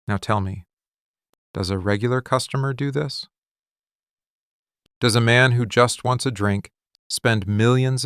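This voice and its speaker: Low, gravelly, possessive mafia boss acted by a man. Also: a bit bright, no echo, studio quality. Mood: menacing, demanding. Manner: slow, deliberate, commanding, menacingly intense. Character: possessive, obsessive male mafia boss confronting his love. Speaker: ASMR voice actor. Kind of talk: intimate boyfriend ASMR roleplay.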